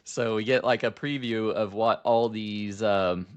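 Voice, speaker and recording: Low-pitched man, casual podcast co-host. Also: steady tone, measured pace, clean tone, lo-fi sound. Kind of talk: casual chat.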